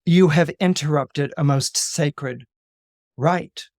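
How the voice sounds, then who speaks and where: Storyteller doing a haughty angry goddess, slow commanding pronouncements, cold and aloof, close dry sound. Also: medium pitch, a little mellow, no room sound. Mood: stern. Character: imperious wrathful goddess, female, coldly aloof.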